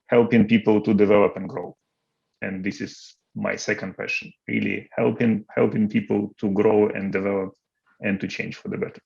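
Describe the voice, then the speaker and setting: A man in his forties speaks with a Ukrainian accent and a low, gravelly voice. He talks earnestly and often repeats words while he searches for the right phrase. The sound is dull and lo-fi, like audio from an online call. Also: a little mellow. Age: 40s. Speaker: interviewee, coach. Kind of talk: interview over video call.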